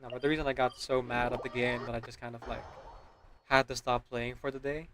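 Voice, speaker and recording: A man's voice: mid-range voice, flat delivery, brisk, a bit bright, a little hiss or hum. A man giving casual, rambling gaming stream commentary, often checking in with "right?" as he explains. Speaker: video game livestreamer. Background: background music.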